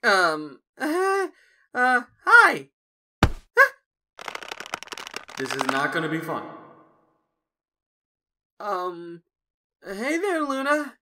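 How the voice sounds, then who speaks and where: A man playing an awkward, polite and observant young man in an animated audio drama, hesitant and nervous. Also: high voice, heavily acted. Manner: hesitant, deliberate, narrates his thoughts. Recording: loud music over the voice.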